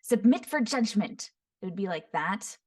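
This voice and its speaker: A woman voice actor teaching voice impressions, describing each voice in short phrases and often breaking into quick character demos. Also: mid-range voice, expressive, a little mellow. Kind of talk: voice impression tutorial. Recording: voice somewhat far from the mic.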